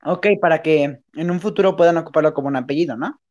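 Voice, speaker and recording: Slangy Mexican woman, slightly low voice. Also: fast, a little mellow, highs cut off. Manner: slangy, informal. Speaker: interviewer. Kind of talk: casual improvised interview chat.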